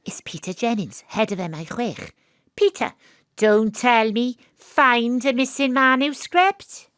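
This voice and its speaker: An actress playing a wry, world-weary private detective, narrating and talking in an audio drama. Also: female voice, fairly high pitch, unhurried, fairly bright tone, clean tone, no echo, clean studio sound. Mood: inquisitive. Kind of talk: audio drama dialogue and noir-style narration.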